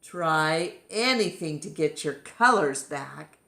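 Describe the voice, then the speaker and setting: A woman voicing excitable, easily puzzled elephants from a children's story, with exaggerated questions and exclamations. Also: deep voice, takes it slowly, creaky, full character voice. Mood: urgent.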